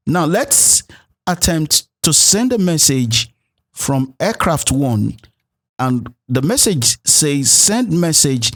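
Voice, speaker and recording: A man narrating a programming tutorial as an instructor, explaining things step by step. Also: on the high side. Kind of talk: tutorial narration with code walkthrough.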